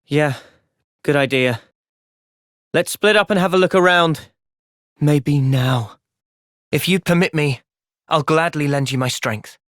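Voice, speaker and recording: A young man playing a thoughtful, earnest young soldier in video game cutscenes, speaking in a gentle, reflective manner. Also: on the high side, unhurried, long pauses, no room sound, clean studio sound. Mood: calm, thoughtful.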